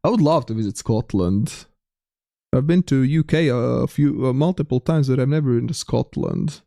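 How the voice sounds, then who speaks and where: A man's voice: medium pitch, moderate pace, gravelly, warm tone, no echo, clean studio sound. A young man with a light Latvian accent, streaming a geography game and chatting casually with viewers while reading the chat aloud. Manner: chatty, reads chat aloud, frequent restarts.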